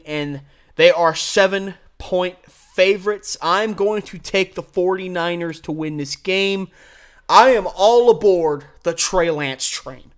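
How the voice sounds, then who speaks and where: A man with an American accent and a distinctly high-pitched voice hosts a sports show on his own. He delivers commentary and predictions in a blunt, opinionated way. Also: clean tone. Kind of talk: solo sports commentary with game picks.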